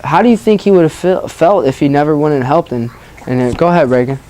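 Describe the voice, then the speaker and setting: Casting: classroom teacher, mid-pitched voice, storybook read-aloud and prompting questions for young pupils. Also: expressive, fast, mellow, poor audio. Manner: prompting children with questions, acknowledging their answers.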